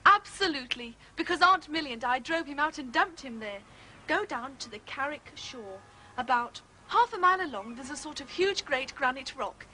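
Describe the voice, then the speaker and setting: High, bright young English girl. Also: expressive, normal speed, a little hiss or hum, poor audio. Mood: bright, helpful. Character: polite, eager young English girl of tender years. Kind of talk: British radio drama acting.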